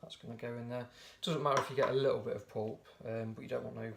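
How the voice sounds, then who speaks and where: A hoarse man casually talking through a recipe, often correcting himself as he goes. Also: medium pitch, fast talker. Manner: relaxed, chatty, often corrects himself mid-sentence. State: hoarse, croaky voice. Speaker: home cook presenting a recipe. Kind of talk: casual step-by-step cooking demonstration. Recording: noisy home video recording.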